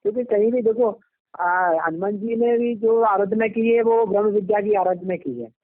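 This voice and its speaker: A man's voice: high-pitched, fairly even intonation, normal speed, no echo. A man from Madhya Pradesh, talking casually on the phone and rambling, often checking that the listener agrees. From Madhya Pradesh, India. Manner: rambling, often seeking agreement with tag questions. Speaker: ashram devotee. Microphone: telephone. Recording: phone call recording, band-limited.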